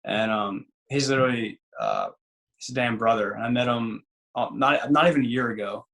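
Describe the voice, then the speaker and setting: A man in his twenties with a raspy voice, a singer and former ballplayer chatting loosely as an interview guest, full of fillers and restarts. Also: mid-range voice, very animated, normal speed, a bit bright, poor audio. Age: 20s. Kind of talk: casual interview chat.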